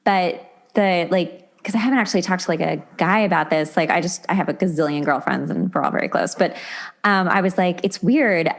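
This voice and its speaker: A woman's voice: fairly low pitch, brisk, creaky. A woman hosting a podcast, talking in a casual, chatty and informal way and often acting out her own thoughts. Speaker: podcast host. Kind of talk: casual interview chat.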